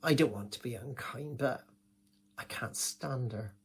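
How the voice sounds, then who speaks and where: A man's voice: mid-range voice, a little slow, slight background noise, lightly acted. A man acting a gossipy village pantomime pianist. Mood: gossipy, confiding. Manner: confiding, rambling, gossipy, with malapropisms. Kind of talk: theatrical comic monologue.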